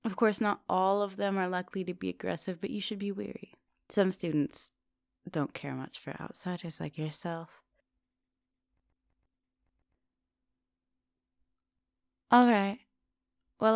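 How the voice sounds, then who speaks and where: A woman playing a coaxing, soft-dominant vampire professor in an intimate ASMR roleplay, speaking with polite, formal phrasing. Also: mid-range voice, gravelly, no echo, studio quality, lightly acted. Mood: friendly, coaxing. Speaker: teacher.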